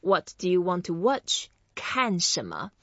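A woman hosting a podcast, teaching Chinese phrases slowly and patiently and repeating each phrase for her guest. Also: on the low side, wildly swooping pitch, fairly bright tone, dry recording, lo-fi sound. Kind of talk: friendly language lesson.

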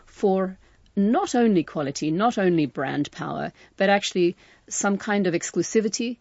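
A woman's voice: medium pitch, animated, moderate pace, vocal fry, a little mellow, no echo, highs cut off. A woman from Australia, a fashion executive, answering in a polished, enthusiastic way. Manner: polished, enthusiastic, says "absolutely" often. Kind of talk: interview answers.

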